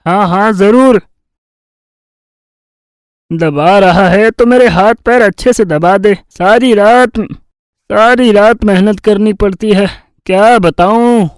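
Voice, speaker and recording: Man voicing a high-pitched, sly cartoon village thief. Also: clear, resonant tone, no room sound. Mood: boastful. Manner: bragging, drawn-out cajoling. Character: boastful scheming male village thief, sly and lazy. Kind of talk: Hindi cartoon fairy-tale dubbing.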